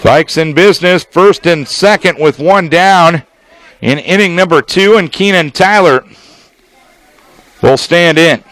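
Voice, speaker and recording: A man with an American accent giving live baseball play-by-play on the radio, in measured, descriptive phrases with pauses between them. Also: on the high side, fairly bright tone, dry recording. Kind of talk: live sports play-by-play commentary.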